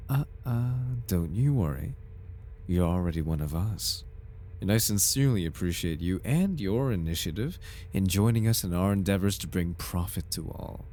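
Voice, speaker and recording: Man playing a deep-voiced, silky corporate recruiter. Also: dark tone. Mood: slick reassurance. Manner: theatrical, formal, silky salesman politeness. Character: smooth-talking, flirtatious, faintly sinister corporate recruitment advisor. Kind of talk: ASMR roleplay, scripted in-character monologue.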